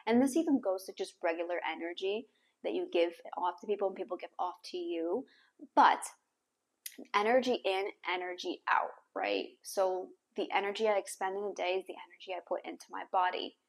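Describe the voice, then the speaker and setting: A woman's voice: mid-range voice, fairly quick. A young adult woman hosting a podcast, giving casual advice to camera in an encouraging, self-correcting way. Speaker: podcast host. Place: small bare room indoors with hard floor. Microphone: clip-on lapel mic.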